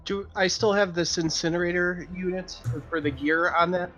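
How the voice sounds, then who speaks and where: A man playing in a casual tabletop game, playful, with drawn-out exclamations. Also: high-pitched, steady tone, sharp tone, faint music behind. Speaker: player.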